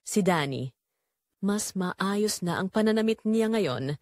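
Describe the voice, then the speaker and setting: Woman, dramatic story narration, mid-range voice, animated intonation, emotive and measured. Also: medium pitch, dry recording. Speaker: audiobook narrator reading a first-person romance story.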